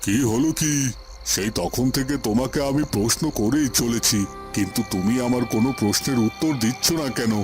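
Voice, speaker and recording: A man's voice: mid-range voice, fairly quick, natural voice. A man playing a stern, righteous village headman and family patriarch in a cartoon, speaking in a scolding, authoritative way. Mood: stern, demanding. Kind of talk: dramatic cartoon voice acting. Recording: music behind the voice.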